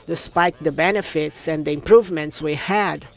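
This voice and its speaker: A man giving a simultaneous interpretation of a conference talk, in a steady, measured way. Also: fairly high pitch.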